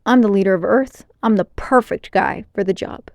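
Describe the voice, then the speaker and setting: A woman's voice: medium pitch, moderate pace, darkish tone, dry recording, natural voice. A woman voicing an earnest, eager young boy who bluffs bravely in a children's storybook. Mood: determined. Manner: casual, insistent.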